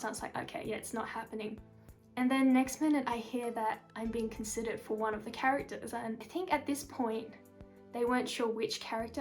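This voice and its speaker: A young woman with an Australian accent, a singer answering interview questions in a casual, upbeat, chatty way. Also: on the high side. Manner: chatty, upbeat, frequent "like" and "kind of".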